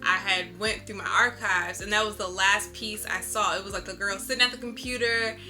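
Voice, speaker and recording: A woman with a bright voice at a middle pitch talks casually in an interview. She is candid and reflective, telling stories in informal language. Faint instrumental music plays behind her. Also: steady tone, a little fast. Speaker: interviewed artist. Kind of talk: casual interview answers, storytelling.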